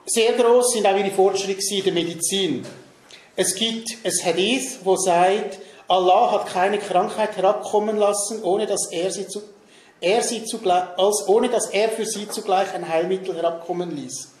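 A fairly high-voiced man in his thirties with a Swiss German accent reads a prepared talk from his notes while seated at a table. He often stops on difficult names with ums and restarts. The sound is roomy, with faint noise in the background. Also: fairly even intonation, moderate pace, darkish tone. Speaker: presenter. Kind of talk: reading a prepared talk at a discussion evening. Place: meeting room in a large hall, seated at a table.

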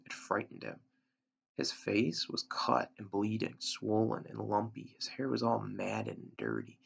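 A man in his thirties with a gravelly, husky voice reads a story aloud, recorded on a webcam in a room at home. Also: fairly low pitch. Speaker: teacher reading aloud. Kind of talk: storybook read-aloud narration. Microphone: computer webcam at arm's length. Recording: dull lo-fi webcam sound.

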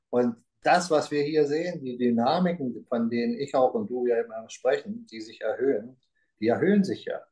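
A man's voice: mid-range voice, warm tone, low-quality recording. Emphatic middle-aged man on a video call. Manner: gesturing, rambling, emphatic with rhetorical questions. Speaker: talk show co-host. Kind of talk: casual conversation. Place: room with a green grass backdrop. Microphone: video call webcam.

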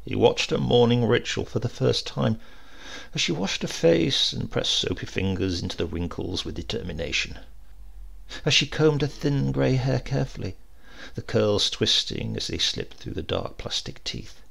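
A man reading a literary supernatural tale aloud in a measured, expressive storytelling manner. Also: mid-range voice, creaky, breathy edge. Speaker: story reader.

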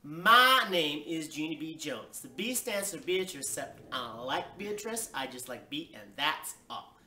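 A man voicing a bossy, excitable kindergarten girl in a storybook, with emphatic childish grammar, in an echoey room. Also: a little high, measured pace, a little hiss or hum, exaggerated voice. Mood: chatty, proud. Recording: roomy echo, distant microphone.

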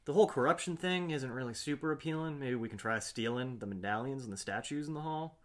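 A man's voice: mid-range voice, fast. Wry man at a tabletop game. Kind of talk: casual in-character roleplay chat.

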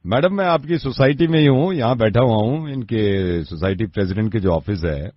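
A radio prankster playing a stern, impatient police officer who interrogates people over the phone in a bossy way. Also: male voice, on the low side, animated, normal speed, dull audio, noisy background, pitched below the performer's own voice, lightly acted. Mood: probing.

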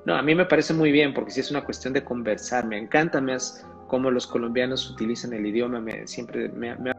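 A man's voice: medium pitch, fairly even intonation, a little fast, creaky, soft background music. A man as guest in an online conversation, talking enthusiastically and repeating his phrases. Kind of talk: casual live-stream conversation.

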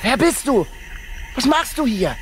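A man's voice: extremely high pitch, big pitch swings, unhurried, background noise, exaggerated voice. A man playing a practical, curious expedition companion in a science fiction radio drama, speaking tersely. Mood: coaxing.

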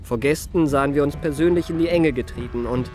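A man's voice: fairly high pitch, fairly quick, a little mellow, soft background music, natural voice. An actor playing an urbane male memoirist, narrating a radio play in an elegant, polished and formal manner. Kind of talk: scripted first-person radio play narration.

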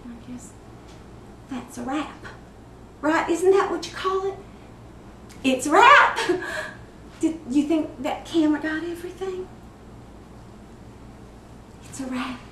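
A woman playing a disturbed, wistful, eerily childlike mother in a dramatic film monologue, halting and drifting between murmurs and sudden emphasis. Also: very high-pitched. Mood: giddy, unhinged. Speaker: actor performing a film monologue. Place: echoey indoor studio room. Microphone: distant microphone. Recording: echoey room, distant mic, clear noise.

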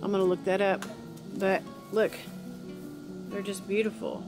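A woman's voice: medium pitch, little pitch movement, measured pace. Woman, cheerful chatty garden tour, outdoors on a handheld phone, guitar music under it. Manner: chatty, cheerful, thinks aloud. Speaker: homesteader vlogger. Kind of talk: casual walking garden tour. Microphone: phone camera held while walking.

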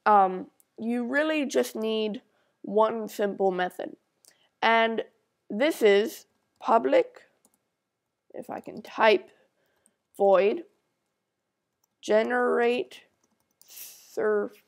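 A woman's voice: a little high, vocal fry. A woman with a stuffy nose talking through a coding screencast, reading out code as she types it and thinking aloud. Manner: talks slowly while typing code, thinking aloud. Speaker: tutorial maker.

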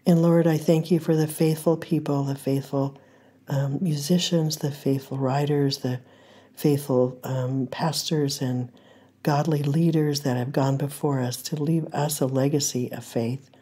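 An older woman hosting a devotional podcast, speaking in a hoarse voice, gentle and earnest, with frequent pauses as she teaches and prays. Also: sits low, steady tone. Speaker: podcast host. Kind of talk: devotional talk and prayer.

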